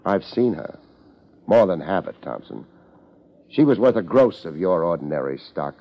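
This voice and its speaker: An actor playing a wry, deadpan private detective with an American accent, in a 1950s radio drama. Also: male voice, sits low, normal speed. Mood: dry, tough. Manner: deadpan, wisecracking. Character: wry, laid-back, hardboiled private detective narrating his case. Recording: dull 1950s radio recording.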